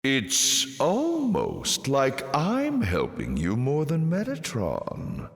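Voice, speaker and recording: A man playing a suave, mocking demon lord in a video game, with a silky, pompous, sardonic delivery and drawn-out teasing. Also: medium pitch, wildly swooping pitch, exaggerated voice. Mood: mock admiring, sardonic. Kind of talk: theatrical video game villain voice acting. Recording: echoing, cavernous reverb.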